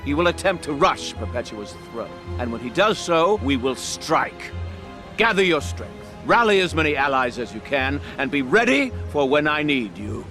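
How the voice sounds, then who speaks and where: An actor playing a shrewd, commanding mastermind in a video game, deliberate and authoritative as he briefs allies. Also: fairly high pitch, big pitch swings, bright, forceful tone. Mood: calculating. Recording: voice over dramatic music.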